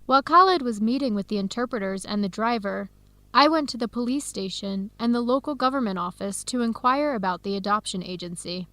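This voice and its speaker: A woman playing a determined young American woman in an English-learning radio drama, speaking in clear, simple scripted sentences with an American accent. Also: on the high side, fairly quick, fairly bright tone, no room sound, lightly acted. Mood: resolute. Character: Helen, determined young American woman searching for birth family.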